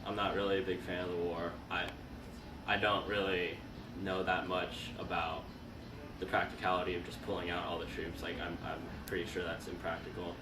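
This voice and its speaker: A man's voice: low voice, level tone, moderate pace. A teenage boy answering interview questions in a tentative way, with lots of fillers. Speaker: high school student. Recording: distant, echoey and noisy.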